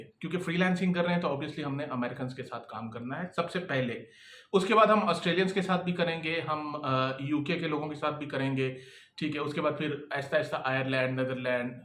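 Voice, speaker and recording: A man's voice: fairly high pitch, fairly bright tone. Brief: male instructor, raised voice, casual lesson style, keeps asking "okay?", roomy echo. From Pakistan. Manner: conversational, keeps checking in with "okay?". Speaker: freelancing instructor and employer. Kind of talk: casual talking-head lesson.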